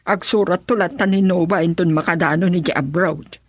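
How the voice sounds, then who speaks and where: Husky, humble Filipino village woman. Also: lowish voice, steady tone, a little fast, no echo. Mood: earnest. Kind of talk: Filipino radio drama acting.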